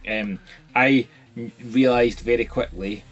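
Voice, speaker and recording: A man's voice: mid-range voice, fairly even intonation, measured pace, highs cut off, soft background music. A Scottish man co-hosting a casual chat from home, jokey and rambling. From Scotland. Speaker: co-host.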